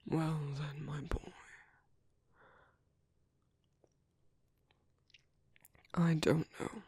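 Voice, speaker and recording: Man playing a breathy, whispering British vampire priest. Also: fairly high pitch, flat delivery, a little slow, heavily acted. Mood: warm, adoring. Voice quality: soft, breathy, often whispering. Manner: gentle, hesitant, formal and courteous. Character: soft-spoken, caring British vampire priest, paternal and formal. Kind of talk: soft ASMR roleplay, intimate and slow. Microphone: close microphone, dry.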